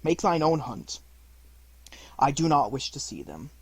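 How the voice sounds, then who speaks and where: A man's voice: on the high side, big pitch swings, unhurried, vocal fry, husky, dry recording, heavily acted. A man playing a bold, headstrong jungle boy in a storybook, speaking firmly and defiantly. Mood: defiant, reckless.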